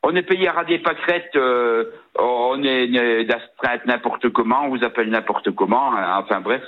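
A man's voice: medium pitch, moderate pace. A man in his sixties from the Vosges with a regional accent, calling in to a radio show and talking hesitantly, with many fillers and restarts. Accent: Vosges French. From Vosges, France. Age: 60s. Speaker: caller. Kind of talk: phone-in call to a radio show. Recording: tinny phone line.

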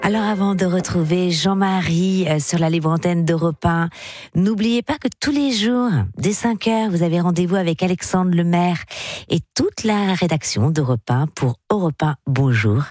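A woman with a deep, low voice hosts a late-night radio call-in show. She is chatty and talks things through with her callers. Her voice is recorded dry and close on a studio microphone. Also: moderate pace, darkish tone, no echo, soft background music.

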